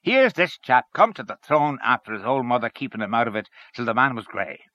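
Storybook canvasser, played by a male reader: opinionated, fierce, bright attack, pitch swinging widely. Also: sing-song, a little fast, no room sound, dull audio. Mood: blustering, persuasive. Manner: fierce, emphatic, colloquial. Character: Henchy, blustering, opinionated Irish male political canvasser.

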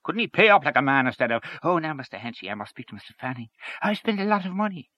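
A man's voice: on the high side, sing-song, fast talker, bright, forceful tone, muffled recording, pitched above the performer's own voice, heavily acted. A man voicing a blustering, scornful middle-aged man who grumbles and gossips.

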